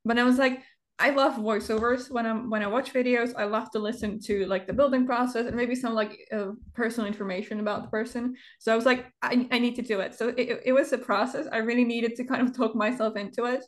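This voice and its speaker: A woman, an English speaker, talking as an interview guest, often pausing and searching for words with fillers. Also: fairly high pitch, steady tone. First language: Czech. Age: 20s. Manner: hesitant, pauses to find words, frequent fillers. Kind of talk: casual interview chat.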